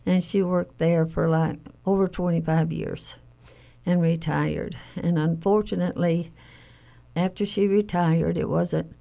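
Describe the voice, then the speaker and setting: A woman's voice: low voice, creaky, mellow. An older woman from Alabama, recalling family life in a conversational interview. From Alabama, United States. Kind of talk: conversational recollection.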